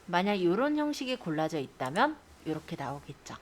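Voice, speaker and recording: A woman's voice: on the low side, very animated, fairly quick, slight background noise. A woman instructor giving a step-by-step spreadsheet lesson, explaining and often checking in with short tag questions. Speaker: computer skills instructor.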